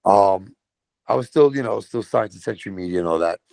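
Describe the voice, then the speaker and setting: This middle-aged man speaks with a New York accent, and his low, gravelly voice is recorded dry and close. He tells his stories casually, cursing freely and acting out what people said. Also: a little fast, no room sound. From Brooklyn, New York. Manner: profane, animated storytelling with voiced quotes. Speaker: guest, metal vocalist. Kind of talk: casual storytelling.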